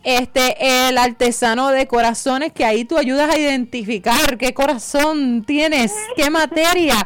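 High-voiced woman radio host. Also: a little slow, smooth, no room sound.

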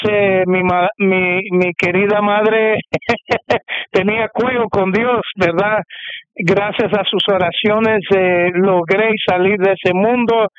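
Guest, male, from the US; high, unhurried, halting testimony on a muffled radio feed. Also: smooth, no room sound. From United States. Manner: halting, many fillers and restarts. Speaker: interview guest. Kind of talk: testimony interview. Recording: dull muffled radio sound.

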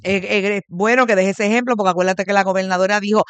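A man hosts the interview, cutting in with quick agreements and questions. His voice is high, smooth and resonant. The sound is close and dry. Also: steady tone, fairly bright tone, no room sound. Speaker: host.

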